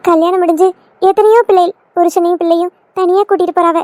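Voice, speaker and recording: A devoted young daughter-in-law in a family drama, acted by a woman, speaking in an extremely high voice with a southern Tamil Nadu accent. She gushes and pleads with feeling, in a dry recording with little room sound. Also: fairly even intonation, quick pace, a little mellow, dry recording, soft background music. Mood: devoted, gushing. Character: affectionate young daughter-in-law, gushing and wheedling. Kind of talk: Tamil family skit acting.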